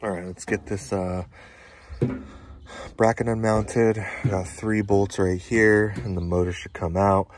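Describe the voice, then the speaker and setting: A technician in his thirties talks through a repair outdoors at an easy, slow pace. His voice sits low, and he fills pauses with "uh" as he works. Tool clatter and outdoor noise sit clearly behind him. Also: level tone. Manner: plain-spoken, working while talking, many "uh" fillers. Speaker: HVAC technician. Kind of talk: narrating a repair job on camera. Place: outdoors beside a home's heat pump. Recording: noisy outdoor job site with tool clatter.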